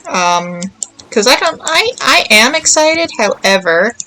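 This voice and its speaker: Woman game streamer, slow easygoing pace, bright voice, thinks out loud, music behind her. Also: a little high, sing-song, a little hiss or hum. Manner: rambling, thinking aloud. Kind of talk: casual livestream chat while playing.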